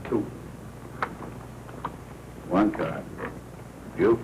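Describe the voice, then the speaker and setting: An American actor playing a smooth-talking racketeer boss in a 1940s noir film, deliberate and falsely cordial. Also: male voice, low voice, lo-fi sound. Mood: cool, needling. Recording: hissy 1940s film soundtrack.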